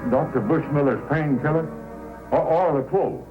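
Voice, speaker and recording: An actor playing a pleading, shifty crook in an old-time radio drama. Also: male voice, on the high side, normal speed, narrow, tinny audio, music in the background, close to an everyday voice. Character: pleading, shifty male crook seeking painkiller.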